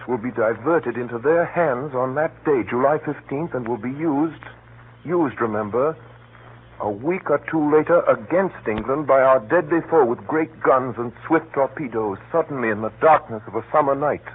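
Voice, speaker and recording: A man acting a grave gentleman who narrates a spy plot in an urgent, deliberate, dramatic 1930s radio drama style. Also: mid-range voice, close to an everyday voice. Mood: urgent, foreboding. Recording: muffled, noisy 1930s radio recording. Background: faint hiss and noise.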